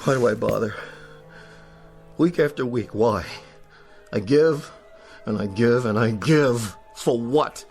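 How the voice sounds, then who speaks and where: A man's voice: mid-range voice, takes it slowly, vocal fry, long pauses, a little mellow, faint music behind. A man playing a pompous, petulant, self-pitying television western star with a theatrical, haughty delivery in an audio drama. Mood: indignant, self-pitying. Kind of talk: radio drama acting.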